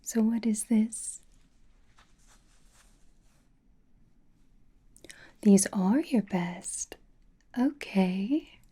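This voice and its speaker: Slow, whispering, flirtatious vampire played by a woman. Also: mid-range voice, warm tone. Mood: teasing, flirtatious. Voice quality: breathy whisper. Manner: coy, theatrical, old-fashioned endearments, lingering phrasing. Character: flirtatious, doting, teasing female vampire companion. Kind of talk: whispered ASMR roleplay.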